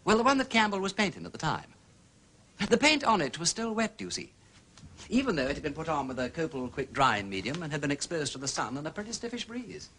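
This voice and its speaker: Casting: urbane upper-class English amateur detective, lively intonation, old-fashioned exclamations, radio drama. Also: male voice, a little high, fast, a little hiss or hum. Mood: airy, teasing.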